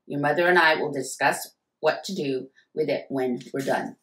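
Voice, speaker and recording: A stern, commanding father, as a woman narrator puts him on, his voice pressed lower than her own. He gives firm orders, and the recording carries a roomy echo. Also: moderate pace, a bit bright. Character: stern middle-aged father, strict and fuming.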